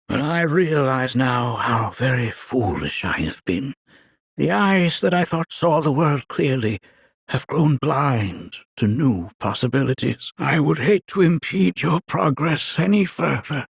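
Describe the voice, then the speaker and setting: An actor playing a wise, kindly, very old village elder in a video game, raspy and creaky, speaking in formal, archaic, theatrical phrases like a storyteller. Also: male voice, a little high, fairly bright tone, no room sound, full character voice. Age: older. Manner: formal, archaic, measured storytelling with dramatic flourishes.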